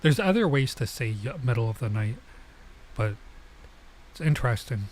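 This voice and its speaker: Young male streamer, relaxed and talkative, medium pitch; commentary over a visual novel. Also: moderate pace, slight background noise. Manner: chatty, laid-back, lots of "like" and "bro". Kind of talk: casual let's-play commentary.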